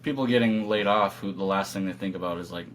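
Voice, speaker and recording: Male band guest, lowish voice, casual chat, faintly noisy humming recording. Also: fast. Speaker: band guitarist being interviewed. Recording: clear background hum and noise.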